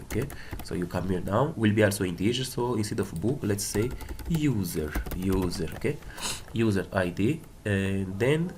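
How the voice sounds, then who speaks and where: Low-pitched man with Portuguese accent, tutorial. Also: darkish tone. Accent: Portuguese-speaking. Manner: repeats words and tags sentences with "okay?". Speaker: coding tutorial instructor. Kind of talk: casual step-by-step screencast tutorial. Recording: clear background noise, keyboard typing and clicks. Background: computer keyboard typing and clicks.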